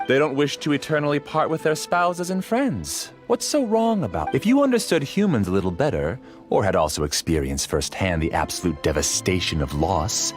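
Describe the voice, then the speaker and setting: Smug, theatrical low-voiced game villain. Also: male voice. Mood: mock kindly. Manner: smooth, theatrical, self-justifying. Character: smug, calculating male villain, master of a dream world. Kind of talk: video game voice acting.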